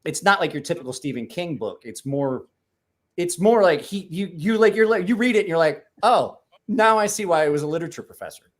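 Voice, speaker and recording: A man's voice: a little high, animated, fairly quick. A man talking casually as a livestream guest, rambling with many restarts and swearing.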